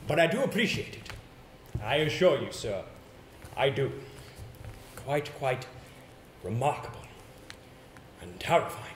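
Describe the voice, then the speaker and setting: A man acting in a stage play as a polite, anxious, theatrical English gentleman with formal, hesitant phrasing. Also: on the high side. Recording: roomy, noisy stage play recording.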